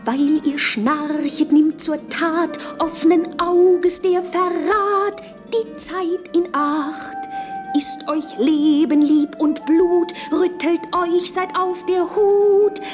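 An otherworldly spirit of the air in a classic radio drama. Her voice is extremely high and very slow, lilting through sung verse of warning. Music plays with her. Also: darkish tone. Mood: eerie warning. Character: airy spirit singing a warning to sleepers.

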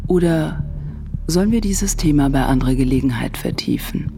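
A woman's voice: deep voice, expressive, moderate pace, long reverb tail, music in the background. A woman playing an assured, predatory businesswoman in her forties in a radio drama, poised and coolly pressuring. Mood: amused, threatening. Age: middle-aged. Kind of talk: German radio drama acting.

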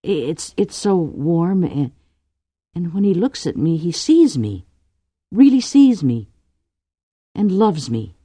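Casting: lovestruck young woman in an audiobook, earnest, hesitant, raised pitch, swooping intonation woman narrator performing. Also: big pitch swings, slow pace, pauses often, dark tone, slightly rough, dry recording, full character voice. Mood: dreamy, wistful.

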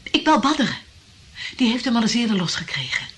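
A woman's voice: a little high, very animated, a little fast, fairly bright tone, a little hiss or hum. An actress playing a scornful, vengeful woman scheming in a radio drama, conspiratorial and contemptuous.

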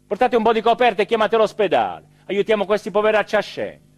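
An actor playing an excitable small-town mayor in a theatrical radio monologue, with an emphatic delivery that swings from hushed to shouted. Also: male voice, high-pitched, fast, dry recording, soft background music. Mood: alarmed, commanding. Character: excitable small-town Italian mayor recounting his dream and a night emergency.

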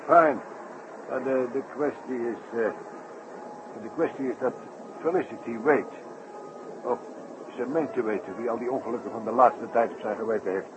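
A man playing a friendly, halting circus character in a 1960s radio drama, repeating words as he explains. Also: medium pitch, lightly acted. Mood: friendly, hesitant. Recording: muffled, clearly noisy 1960s radio recording.

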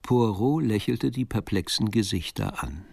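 Crime tale narrator, lowish pitch, gravelly, composed, literary radio drama delivery. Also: male voice, expressive, unhurried, darkish tone, no room sound, studio quality, exaggerated voice.

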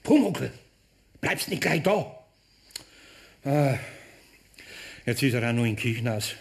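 A man's voice: medium pitch, lively intonation, slow pace, creaky. Grumbling older Bavarian man. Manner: hesitant, exasperated, sighing. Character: flustered, grumbling, ailing older Bavarian master craftsman. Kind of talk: German radio play acting.

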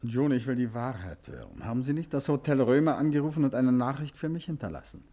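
An actor playing an urbane, tactful but insistent gentleman detective in a 1950s German radio drama, polite and measured as he probes. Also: mid-range voice, big pitch swings.

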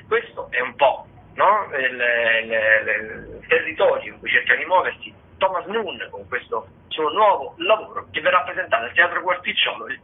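Guy hosting a radio arts show, kinda raspy voice, chatty and halting, sounds thin like over a phone. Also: fairly high pitch. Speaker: radio theatre show host. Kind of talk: conversational radio listings of theatre and dance shows. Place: radio broadcast. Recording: slightly band-limited, phone-like radio sound.

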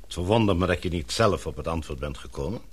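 A deep-voiced older gentleman in a radio play. He speaks formally and with measured authority, a father who is pessimistic about mankind yet tender with family. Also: slightly rough. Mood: grave, pessimistic. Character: older authoritative English knighted father, gloomy yet affectionate. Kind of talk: radio drama acting.

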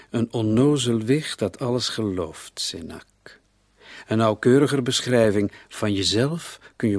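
A police commissioner in a radio play, with a rather low, rough-edged voice recorded dry and close. He is shrewd and sardonic, needling his suspect with taunting questions. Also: no room sound. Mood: gloating. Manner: sardonic, taunting, with rhetorical tags.

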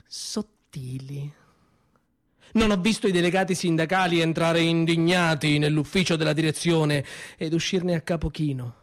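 A man playing a sly secretary feigning innocence. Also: sits high. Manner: ironic, mock-innocent denials with measured pauses. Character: male office secretary feigning ignorance, sly. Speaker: stage actor. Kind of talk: theatrical monologue for radio theatre.

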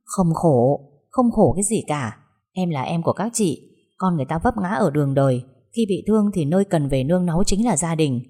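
A woman voicing a gentle younger sister who soothes and pleads with her family. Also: on the low side, animated, a little fast, slightly rough. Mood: pleading.

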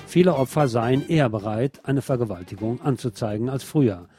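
A man reads local news from a script in a soft, dark-toned, relaxed voice. He has a sardonic, editorializing manner that colours the reading. Faint music with guitar plays behind him. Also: mid-range voice, fairly quick. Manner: sardonic, opinionated asides. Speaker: radio news presenter.

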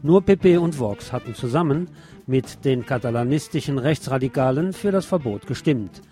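A man's voice: mid-range voice, animated, moderate pace. A man reading scripted news as a radio presenter. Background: music behind the voice.

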